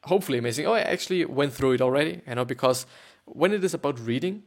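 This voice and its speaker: A man's voice: medium pitch, lively intonation, racing. A man from Austria with a German accent, hosting a podcast in a hesitant, rambling way full of fillers and repeated words before he reads a story aloud. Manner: hesitant, repetitive, many fillers. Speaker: podcast host. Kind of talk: rambling casual monologue and reading aloud.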